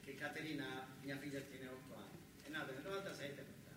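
An actor playing an earnest factory worker and young father, telling his life in a colloquial, halting theatrical monologue with a southern Italian accent. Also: mid-range voice, fairly even intonation, very echoey, recorded from a distance, noisy background, close to an everyday voice. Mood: weary, bitter. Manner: colloquial, halting, repeating words for emphasis.

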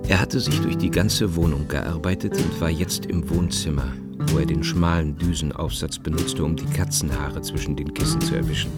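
A man's voice: very deep voice, moderate pace, few pauses. A man narrating a literary radio play from a script, with plucked guitar music behind him.

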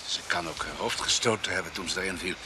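An actor as a precise, matter-of-fact police pathologist. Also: male voice, low voice, fast talker, background noise, natural voice. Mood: measured, firm. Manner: precise, analytical. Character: matter-of-fact male police pathologist, coolly reconstructing murders. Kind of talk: radio drama acting.

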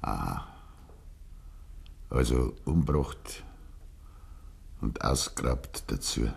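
An actor playing a stubborn, grieving old farmer with a Bavarian accent and a gruff, deliberate manner, in a 1950s German dialect radio play. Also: male voice, a bass voice, animated, heavily acted. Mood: gruff, resigned. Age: older. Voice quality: gravelly, husky.

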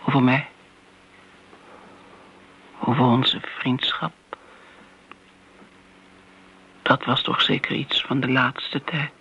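A woman's voice: very deep voice, level tone, takes it slowly, fairly bright tone, slightly rough, heavily acted. An actress playing a wounded, possessive older woman in a radio play, speaking in a grave and wistful way, like a second mother. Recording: muffled old radio recording.

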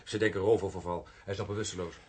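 A man's voice: sits low, fairly even intonation, rapid-fire, slight background noise, lightly acted. An actor playing a cheeky, grumbling young police detective in a radio drama, with a young man's voice. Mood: businesslike. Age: young adult.

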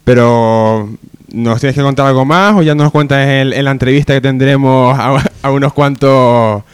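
A man's voice: medium pitch, steady tone, normal speed, smooth. A man with an accent from Spain hosting a comedy radio show with joking banter. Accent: Spanish from Spain.

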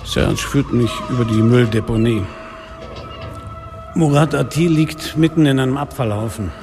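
An actor playing a weary, middle-aged police inspector in a radio drama, speaking and narrating in a dry, caustic manner. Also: male voice, medium pitch, normal speed, lightly acted. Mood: tense, grim narration. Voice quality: gravelly. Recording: reverberant, loud music behind.

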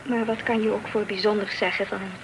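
An actress playing a well-bred young Dutch lady in a radio play, formal, coy and gently reproving. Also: medium pitch, level tone, quick pace, close to an everyday voice. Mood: teasing, polite. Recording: noisy old radio play recording.

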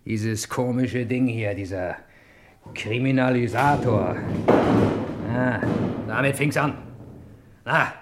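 An actor playing a gruff, clipped, grumbling detective who swears a lot in an old German radio crime drama. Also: male voice, medium pitch, takes it slowly, long pauses, lightly acted. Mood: brooding, determined. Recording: noisy old radio play recording.

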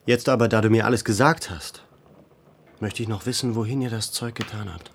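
A man's voice: on the low side, fairly quick, husky. An actor playing a determined man who questions people firmly and commands, in a radio play. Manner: firm, probing, commanding. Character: determined landowner leader, adult man.

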